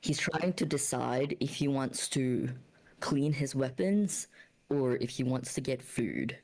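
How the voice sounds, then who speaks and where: A woman's voice: very deep voice, slow pace, darkish tone. A woman playing a tabletop role-playing game, casually describing what her character does.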